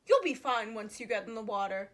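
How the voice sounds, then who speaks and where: Kindly, soft-spoken man for a children's storybook, performed by a female reader, calm and reassuring. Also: fairly high pitch, a little fast, voice pushed down.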